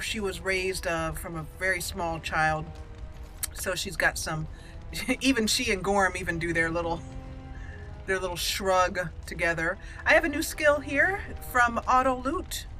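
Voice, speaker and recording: Woman, gaming commentary, chatty, playful, very bright tone, unhurried, faint music behind. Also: medium pitch. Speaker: YouTube game streamer, channel host. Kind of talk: chatty let's play roleplay commentary.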